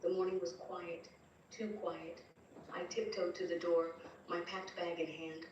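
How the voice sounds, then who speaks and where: A woman voicing a terrified, grieving wife, telling her story in slow, dramatic first-person narration. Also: lowish voice, flat delivery, a little mellow, lo-fi sound, close to an everyday voice. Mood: haunted, fearful. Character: terrified, grieving young wife recounting abuse from beyond death. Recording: distant, very echoey, faint noise.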